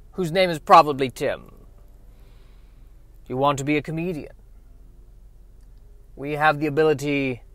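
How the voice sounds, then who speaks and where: A man's voice: fairly high pitch, pushed and bright, no echo, heavily acted. A man voicing a grandiose, menacing alien villain with careful, theatrical pronunciation in a sci-fi radio play. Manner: slow, carefully pronounced, theatrical. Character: grandiose alien villain, articulate, menacing invader offering utopia. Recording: noisy recording.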